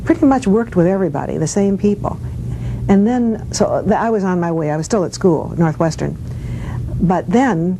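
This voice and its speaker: An older woman, a former radio actress, reminiscing casually in an interview and telling anecdotes in an animated way. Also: moderate pace. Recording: noisy with low rumble.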